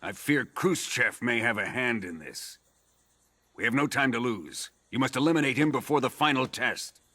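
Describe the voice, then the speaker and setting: An actor playing a domineering, cruel Soviet military commander in a video game, haughty and commanding. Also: male voice, medium pitch, lively intonation, moderate pace, sharp tone. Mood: imperious. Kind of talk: video game cutscene acting.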